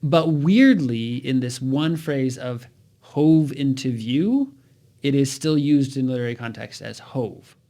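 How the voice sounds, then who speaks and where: A man's voice: medium pitch, measured pace, warm tone. A man speaking as an expert guest, explaining linguistics conversationally with examples and questions, in a didactic way.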